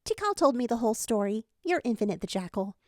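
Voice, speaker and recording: A bubbly cartoon girl voiced by a woman in a story reading. She is high-pitched, chatty and giggly, bouncing all over the range in a dry, close recording. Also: very animated, fast, no room sound. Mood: chipper, chatty. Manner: chipper, giggly.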